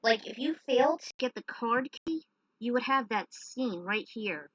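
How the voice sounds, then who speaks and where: A woman's voice: fairly high pitch, animated, normal speed, no echo, muffled recording. A woman narrating a story, slipping into informal, chatty asides in a casual way. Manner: informal, chatty commentary between passages. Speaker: fan fiction narrator.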